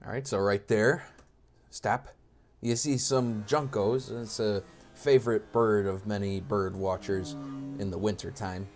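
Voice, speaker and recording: A man's voice: fairly low pitch, steady tone, measured pace, faint music behind. Casual, enthusiastic young male birding narrator. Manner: enthusiastic, informal, joking, educational. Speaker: amateur birder narrating wildlife footage. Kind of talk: casual nature commentary over footage.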